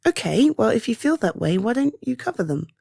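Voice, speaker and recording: A British woman performing an ASMR roleplay as a caring partner-like confidante, speaking softly and hesitantly with reassurance. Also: fairly high pitch, very animated, creaky, breathy edge, dry recording, clean studio sound, full character voice. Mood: gentle reassurance. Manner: hesitant, soft reassuring, halting pauses. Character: caring, reassuring woman comforting a loved one. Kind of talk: ASMR roleplay, one-sided intimate conversation.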